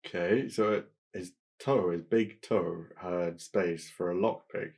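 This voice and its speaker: Male co-host, very low husky voice, hesitant and sceptical, conversational. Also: fairly even intonation, measured pace, a little mellow. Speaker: podcast co-host. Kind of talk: casual chat, reacting and questioning.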